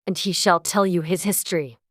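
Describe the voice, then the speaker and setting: A man's voice: high voice, fairly even intonation, a little fast, fairly bright tone, dry recording, voice pushed up. A bad-tempered storybook queen , played by the narrator, curt and commanding. The voice is lifted well above the narrator's own, savage and imperious, with a dry close sound. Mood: brisk commanding. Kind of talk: dramatized audiobook character voice.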